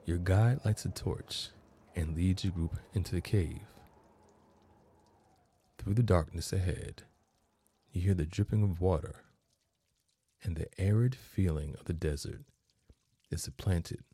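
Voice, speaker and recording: A man narrating a guided meditation as host, in measured phrases with long pauses between them. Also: sits low, mellow, slightly rough.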